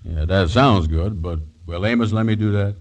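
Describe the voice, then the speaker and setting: An actor playing a gullible, grumbling sidekick with drawling, ungrammatical folksy speech in a 1940s radio comedy. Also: male voice, fairly low pitch, moderate pace, lightly acted. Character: slow-witted, gullible, grumbling male sidekick. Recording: vintage 1940s radio broadcast.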